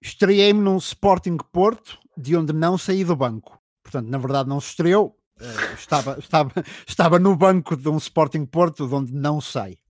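A man's voice: on the high side, expressive, normal speed, darkish tone, no echo. A man with a European Portuguese accent hosting a casual football quiz, reading out clues and laughing often. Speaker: quiz host. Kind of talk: casual podcast quiz chat.

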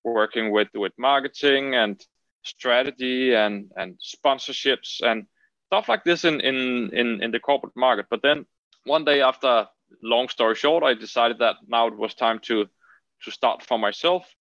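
A man with a Danish accent talks as an interview guest in a dry recording. He is conversational and halting, often doubling back on his words. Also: mid-range voice, fairly bright tone, no room sound, low-quality recording. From Denmark. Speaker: podcast guest, trading entrepreneur.

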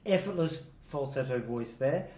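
A man's voice: mid-range voice, lively intonation, unhurried, dark tone, far from the mic. A man co-hosting a casual chat that reviews song contest entries, talking fondly and laughing often. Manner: chatty, laughs often, fond asides. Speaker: co-host. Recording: roomy echo, set back from the mic.

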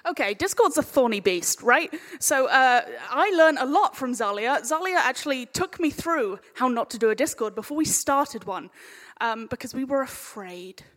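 A woman's voice: high voice, expressive, moderate pace, a bit bright. A woman talking casually on a live panel, chatty and informal, slipping into imagined quotes as she explains. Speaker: panel speaker, audio drama maker. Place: hall at a live convention panel. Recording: echoey hall with long reverb.

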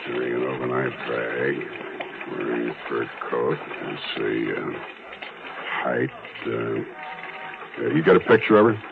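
An actor playing a methodical, world-weary police detective in a 1970s radio drama, with an American accent and a dry, clipped manner. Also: male voice, a bass voice, expressive, takes it slowly, vocal fry, pauses often, noisy background, heavily acted. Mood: pondering. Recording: muffled vintage radio recording with music.

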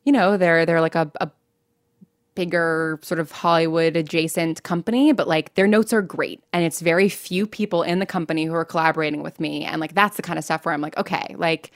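A woman with a fairly low voice gives a casual, candid interview as a podcast creator. She talks in a conversational way and slips into quoted remarks. The sound is dry, with hardly any room in it. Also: steady tone, fairly quick, no echo.

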